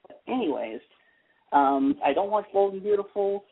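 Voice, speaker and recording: A man chatting casually and wryly as an opinionated panelist on a fan talk show, with a husky voice. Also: sits high, lively intonation, normal speed. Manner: opinionated, wry. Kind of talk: casual soap opera fan chat. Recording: narrow tinny phone-line sound.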